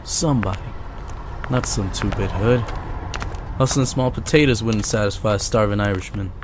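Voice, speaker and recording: A man's voice: fairly low pitch, normal speed, noisy background, natural voice. A man voicing an ambitious, cocky henchman who narrates a motion comic in hardboiled first person. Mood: hungry, proud.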